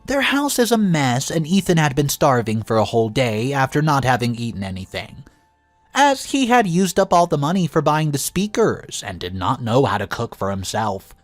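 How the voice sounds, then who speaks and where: A narrator delivers a scripted first-person story with very lively, rising and falling intonation. The voice sits in a middle register and is recorded very dry, with music playing behind it. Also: mid-range voice, moderate pace, no room sound.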